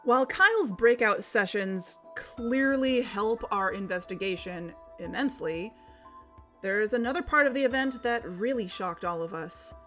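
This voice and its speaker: A woman's voice: medium pitch, lively intonation, unhurried, a bit bright, soft background music. A woman playing a suspicious, determined investigator in a scripted investigative podcast drama. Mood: explanatory, assertive.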